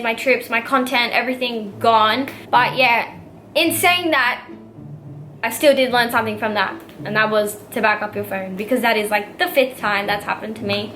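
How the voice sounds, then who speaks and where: A woman's voice: fairly high pitch, sharp tone, slight background noise. A nineteen-year-old Australian woman answering viewer questions in a casual vlog, giggly and bubbly, full of "like" and fillers. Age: young adult, nineteen. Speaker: vlogger. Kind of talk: casual Q&A to camera.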